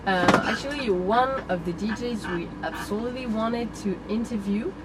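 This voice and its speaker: A woman hosting an informal interview inside a van, speaking hesitantly with pauses mid-sentence. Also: medium pitch. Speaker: interviewer, host. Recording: clear vehicle and ventilation hum.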